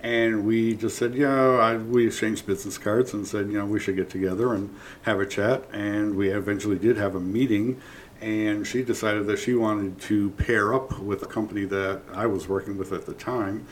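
A man's voice: on the low side, steady tone, normal speed, a little hiss or hum, low-quality recording. A man from Massachusetts, a business partner who tells anecdotes in a chatty way while being interviewed. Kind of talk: casual interview storytelling.

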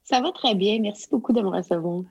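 A woman in her forties from Quebec, with a Quebec French accent, chatting as an interview guest and pausing often with fillers and restarts. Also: mid-range voice, a little mellow, breathy edge, no room sound, low-quality recording. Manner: hesitant, many fillers and restarts. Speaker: guest, provincial education consultant. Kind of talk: casual interview conversation.

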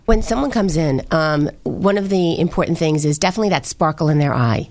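Lowish-voiced woman, blunt and playful. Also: lively intonation, brisk, a little mellow. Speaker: panel guest, dentist. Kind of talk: casual anecdotal chat.

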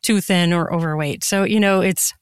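A woman's voice: lowish voice, normal speed, clean tone, dry recording, clean studio sound. A woman talking casually and chattily as an interview guest, expanding freely on her answers. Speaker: podcast guest, erotica author and audiobook narrator.

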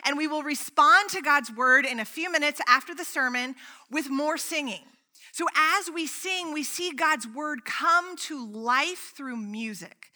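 Bright, high-voiced chatty woman pastor. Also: unhurried. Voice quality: strong voice. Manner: chatty and playful, with frequent "like" fillers. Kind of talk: sermon with casual asides to the congregation. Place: church sanctuary.

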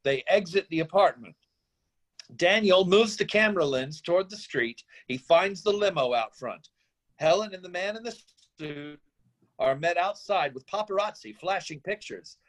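High-pitched male screenplay narrator. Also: animated, normal speed, rough recording. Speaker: narrator reading stage directions. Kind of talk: scripted narration.

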